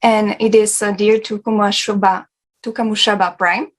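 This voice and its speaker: A woman's voice: mid-range voice, poor audio. A woman co-hosting an international online discussion in a scripted presenting style.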